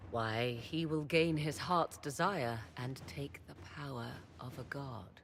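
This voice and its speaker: An actress playing a proud, mysterious sorceress in a fantasy video game, with theatrical, archaic and measured phrasing. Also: female voice, a bass voice, a little hiss or hum, heavily acted. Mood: ominous, sardonic. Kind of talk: dramatic video game acting.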